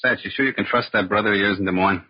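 Fast-talking, bossy gang boss. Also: male voice, lowish voice, husky. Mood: brisk. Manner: commanding, slangy. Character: bossy, curt male kidnapping gang leader, fast-talking crook. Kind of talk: 1940s radio crime drama acting. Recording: dull, noisy old radio recording.

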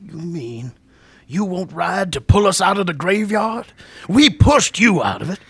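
An actor playing a sly, menacing male gravedigger who bargains slowly and sinisterly in a radio drama. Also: high voice, lively intonation, a bit bright, no room sound, full character voice. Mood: wheedling. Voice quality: gravelly, husky. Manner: slow, wheedling, sinister.

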